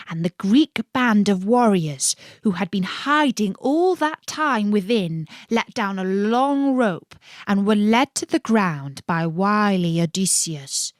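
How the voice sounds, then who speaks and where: A woman reading a scripted myth as a storyteller, in a measured, expressive and dramatic manner. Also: mid-range voice, dry recording, clean studio sound.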